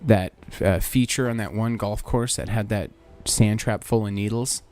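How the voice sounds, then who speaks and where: A man co-hosting a comedy podcast in casual, crude chat, quick to laugh and swear. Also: fairly low pitch, steady tone, a little mellow. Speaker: podcast co-host.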